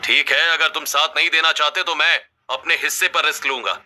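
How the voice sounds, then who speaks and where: A man's voice: fairly high pitch, steady tone, fairly quick, pushed and bright, slight background noise. A man playing an ambitious, stubborn eldest son, self-assured and insistent, in a family story. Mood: confident. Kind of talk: Hindi moral family story dialogue.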